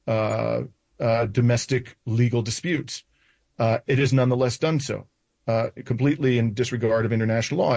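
A man in his sixties with a North American accent recounts events in an interview, pausing often with uhs and restarts. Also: mid-range voice, darkish tone, no room sound. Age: 60s. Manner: halting, with frequent uhs and restarts. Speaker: interview guest.

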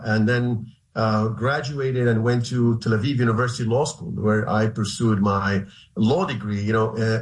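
Halting middle-aged man. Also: mid-range voice. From Haifa, Israel. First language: Arabic. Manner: halting, many restarts and hesitations. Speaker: guest, human rights lawyer. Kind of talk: radio interview answers. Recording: roomy echo.

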